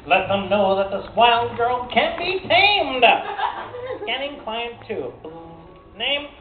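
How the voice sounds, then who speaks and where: A woman's voice: fairly high pitch, expressive, slow pace. A woman playing a snooty, theatrical robot tailor who sprinkles in French phrases in a comic radio play. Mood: haughty, servile. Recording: echoey, tinny, distant live hall with noise.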